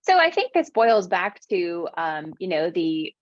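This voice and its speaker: A woman's voice: lowish voice, sing-song, a little slow, dry recording, dull audio. A woman from the American South, a business owner answering interview questions with emphatic repetition. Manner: emphatic, repeats phrases for stress.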